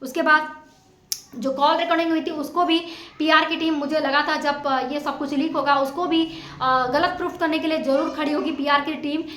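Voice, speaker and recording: A woman's voice: high voice, steady tone, normal speed, a bit bright, slight background noise. A woman talks straight to her viewers. Her commentary is informal and opinionated, pressed home with emphatic, indignant rhetorical questions. The sound is echoey, like an untreated room. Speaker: vlogger commenting on a family dispute.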